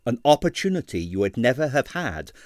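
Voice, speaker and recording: A calm male detective, read by a narrator, measured and wry. His voice is medium in pitch and gravely reassuring, recorded dry for a storybook. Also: no room sound, lightly acted. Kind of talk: audiobook character voice.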